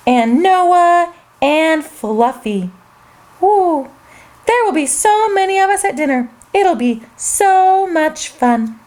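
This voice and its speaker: Lady reading as a friendly polar bear guy, hums and muses, high voice, real slow. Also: heavily acted. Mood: cheerful. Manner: musing with drawn-out hums. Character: friendly male polar bear, hungry and unsure.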